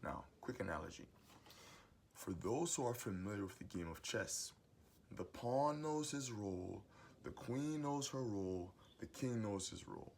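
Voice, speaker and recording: A young adult man with a booming voice gives a preachy, emphatic motivational monologue as host of his own series. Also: animated, measured pace, vocal fry, a little mellow, slight background noise. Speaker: host giving advice monologue.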